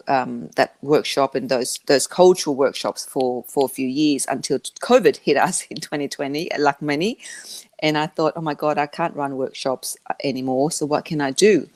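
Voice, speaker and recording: A woman who learned English as a second language, answering interview questions about her business in a halting way, with many restarts. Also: low-pitched. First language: not English. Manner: halting, with frequent restarts and fillers. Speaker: interview guest, media business founder.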